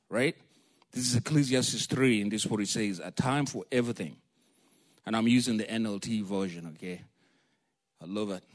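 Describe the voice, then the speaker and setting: A man from Africa, a preacher giving a sermon in a lively, joking, storytelling way and calling for amens. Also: mid-range voice.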